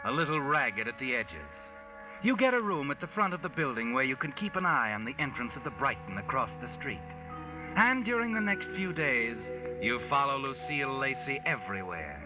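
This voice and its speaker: An actor playing a knowing, sardonic mystery narrator, telling the story ominously in 1940s radio style. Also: male voice, mid-range voice, close to an everyday voice, low-quality recording. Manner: ominous, second-person storytelling.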